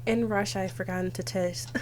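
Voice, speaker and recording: A woman's voice: medium pitch, steady tone, fairly quick. Young woman reading a game aloud, faint hum. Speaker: game streamer. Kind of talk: reading visual novel text aloud with casual commentary.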